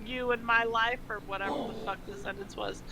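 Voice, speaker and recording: Irreverent woman with a higher-pitched voice. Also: fairly even intonation, brisk, pushed and bright, a little hiss or hum. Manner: crude, irreverent, mocking. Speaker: co-reader and commentator. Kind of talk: comedic dramatic reading of fan fiction with banter.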